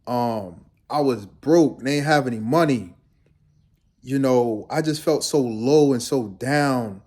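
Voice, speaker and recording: A man's voice: mid-range voice, slow pace, darkish tone. A young man from Guyana, a fitness trainer, talking casually and rambling to his viewers in a laid-back vlog.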